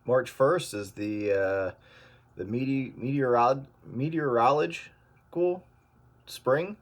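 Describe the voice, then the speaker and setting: A man calling in on a casual radio chat, relaxed and hesitant, with many fillers. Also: medium pitch, takes it slowly, slight background noise. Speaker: radio caller.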